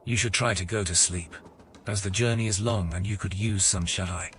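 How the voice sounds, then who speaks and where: An actor narrating a fantasy audio game as a calm, measured male storyteller. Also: sits low, a little hiss or hum. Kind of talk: scripted narration.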